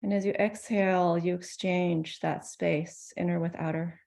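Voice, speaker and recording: A woman leads a guided meditation in a soft-edged, mellow voice with a good deal of creak. Her pitch sits slightly low. She speaks gently and soothingly, leaving space between phrases. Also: fairly even intonation, unhurried. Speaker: meditation teacher.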